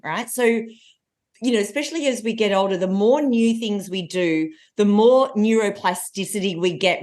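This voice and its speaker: A woman in her forties with a loud voice, a coach telling stories as a guest in a casual podcast conversation. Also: mid-range voice. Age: 40s. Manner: energetic, animated storytelling with laughs.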